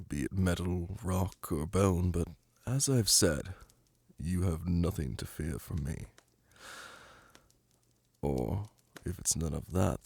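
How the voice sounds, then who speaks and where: A man voicing a suave, flirtatious, lordly demon prince in an ASMR roleplay, purring teasing endearments in a languid, imperious manner with a gravelly, breathy voice. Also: deep voice, darkish tone, no room sound, full character voice. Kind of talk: ASMR roleplay audio drama. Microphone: close, dry microphone.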